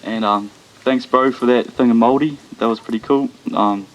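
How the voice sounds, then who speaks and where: A man with an Australian accent tinged with New Zealand speaking nervously at his father's funeral, shy and hesitant with many pauses. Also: medium pitch, fairly even intonation, muffled recording. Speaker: son of the deceased.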